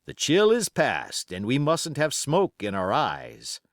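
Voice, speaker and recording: A man voicing a brisk, gruff sea captain in an audiobook, barking terse orders. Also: mid-range voice, wildly swooping pitch, no room sound, studio quality, voice pushed up, exaggerated voice. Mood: commanding. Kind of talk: audiobook character acting.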